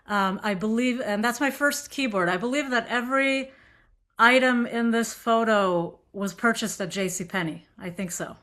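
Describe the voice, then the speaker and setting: A woman from Israel with a faint accent speaks to a gathered group in a relaxed lecture. Her voice is bright and clear, and she talks in a chatty way, often pausing on fillers and restarting her sentences. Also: on the high side. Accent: light Israeli. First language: Hebrew. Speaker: synagogue musician giving a talk.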